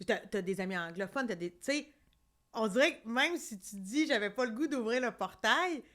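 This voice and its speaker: A woman's voice: a little high, lively intonation, normal speed, a bit bright. A woman with a Quebec French accent hosting a casual podcast, chatty and encouraging. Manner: chatty and encouraging, lots of agreeing. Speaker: podcast host.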